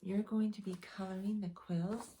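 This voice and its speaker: A young woman teaching preschool, reading a picture book aloud to children in a lively storytime voice. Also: normal speed, a little hiss or hum. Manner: animated storytime voice with big pitch swings.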